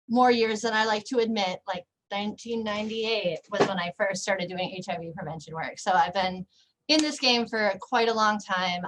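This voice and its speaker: A woman's voice: medium pitch, fairly bright tone, low-quality recording. Candid, self-deprecating woman panelist. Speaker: harm reduction worker and panelist. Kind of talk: online panel introduction.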